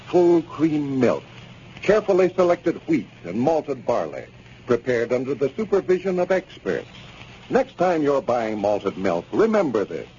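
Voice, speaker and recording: Animated vintage radio announcer man. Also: mid-range voice. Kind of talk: 1930s radio announcing and sponsor pitch. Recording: muffled, noisy 1930s radio recording.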